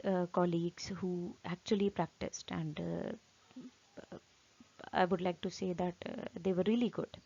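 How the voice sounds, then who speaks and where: An Indian-accented woman in her thirties gives an informal online lesson. Her voice sits low and carries a gravelly fry. She rambles and corrects herself, and the audio sounds dull and lo-fi. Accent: Indian English. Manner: rambling, many fillers and self-corrections, frequent "right?". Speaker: IELTS trainer. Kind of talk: informal online teaching talk.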